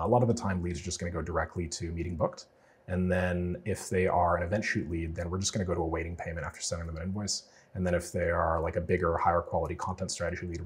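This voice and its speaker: A man with a low voice that sounds raspy from a lingering cold. He talks briskly and casually as he explains a software build step by step on screen. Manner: casual, explanatory, loose with fillers. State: getting over a cold. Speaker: automation freelancer teaching a client build. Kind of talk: screen-share tutorial walkthrough.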